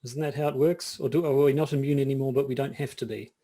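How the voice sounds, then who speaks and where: A man's voice: medium pitch, steady tone, quick pace, warm tone. A middle-aged man with a New Zealand accent, co-hosting a podcast in casual chat, often restarting his sentences and repeating words. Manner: hesitant, many false starts and repeated words. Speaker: podcast co-host.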